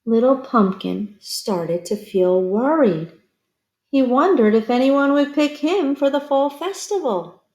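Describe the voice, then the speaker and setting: A woman reading a picture book aloud to children like a teacher, expressive and pausing to put questions to young listeners. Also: high-pitched, reverberant. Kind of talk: children's read-aloud storytelling.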